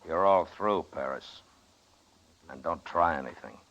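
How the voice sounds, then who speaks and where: An actor playing a stern American frontier marshal in a radio western, with a blunt, terse, commanding delivery. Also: male voice, low voice, a little slow, fairly bright tone. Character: stern, unyielding frontier US marshal, middle-aged man. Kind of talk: old-time radio western acting. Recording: muffled old-time radio recording.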